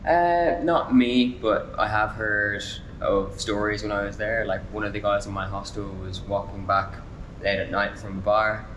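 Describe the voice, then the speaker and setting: A young Irish man in his twenties talks easily as an interview guest, with a lowish voice. He leans on "um" and "like" as he goes. The recording has a clear hum and rumble behind him. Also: normal speed, hardly any pauses, poor audio. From Ireland. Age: 20s. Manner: relaxed, frequent fillers like "um" and "like". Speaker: traveler guest. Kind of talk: casual conversational interview.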